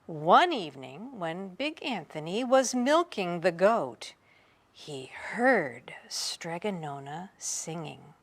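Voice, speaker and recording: A woman reading a children's picture book aloud in an expressive, dramatic storytelling manner. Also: on the low side. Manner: unhurried, dramatic storytelling with emphasis. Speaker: storybook reader.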